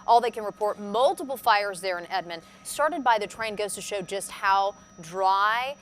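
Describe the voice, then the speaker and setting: A female TV news anchor delivers live breaking-news commentary in a medium-pitched voice. There is noticeable ambient noise behind her as she talks. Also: normal speed, a bit bright.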